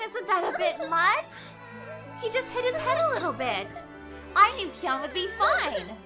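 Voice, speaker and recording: A very high, swooping teenage girl voice, brash and self-important. She is a hot-headed anime club leader who gives orders and demands, with music playing behind her. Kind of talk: anime fan dub acting.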